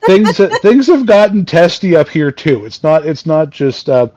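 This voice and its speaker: A Canadian man in his forties with a booming voice, telling his story in a rambling way as a podcast guest. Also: sing-song, measured pace, darkish tone, no echo, lo-fi sound. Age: 40s. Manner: rambling, many fillers and restarts. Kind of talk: casual storytelling chat. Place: home. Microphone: remote video call.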